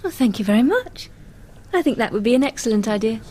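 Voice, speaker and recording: An actress playing a friendly young hairdresser's assistant in a radio drama, chatty and polite, with an English accent. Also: female voice, a little high, expressive, quick pace, dark tone, a little hiss or hum, lightly acted. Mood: friendly, playful. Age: young adult.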